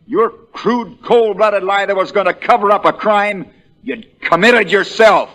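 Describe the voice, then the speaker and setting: An actor playing a shrewd, folksy American country lawyer, relentless in questioning, in the style of 1940s radio drama. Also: male voice, sits high, unhurried, a bit bright. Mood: fierce, accusing. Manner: drawling folksy understatement that builds into hammering accusation.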